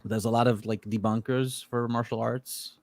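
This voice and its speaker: A man's voice: mid-range voice, moderate pace, dry recording. A man born in Israel whose first language is Hebrew, chatting casually about martial arts films and telling rambling stories in English. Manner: relaxed, rambling storyteller with frequent fillers. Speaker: guest. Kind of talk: casual chat.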